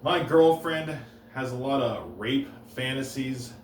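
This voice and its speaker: A man delivering dry, deadpan one-liners on stage, pausing and stumbling a little before each punchline. His voice sits at an ordinary middle pitch and rings in a roomy space, picked up from a bit back, with faint room noise behind him. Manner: deadpan, halting, with restarts and pauses. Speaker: stand-up comedian. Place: comedy stage. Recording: roomy live room, heard from a distance.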